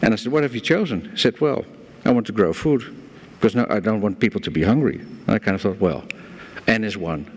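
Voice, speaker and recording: A man's voice: lowish voice, lively intonation, fast talker, husky. A man from the Netherlands with a Dutch accent, speaking to a conference audience and telling stories with a light, self-deprecating humor. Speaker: guest speaker. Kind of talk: conference presentation. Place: conference hall. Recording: hall reverb from a lecture room.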